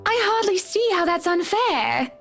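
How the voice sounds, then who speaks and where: A woman's voice: very high voice, big pitch swings, unhurried, creaky, a bit bright, faint music behind, full character voice. A woman playing a chatty, self-assured wealthy wife in a dramatic visual novel, with a breezy, assertive manner. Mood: defensive, assertive. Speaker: game character.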